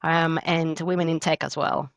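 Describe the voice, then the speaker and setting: A woman's voice: low voice, level tone, normal speed, no room sound, studio quality. A woman in her thirties from Greece with a Greek accent, chatting as a podcast guest with many fillers and restarts. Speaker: podcast guest, tech delivery lead. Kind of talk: conversational interview answers.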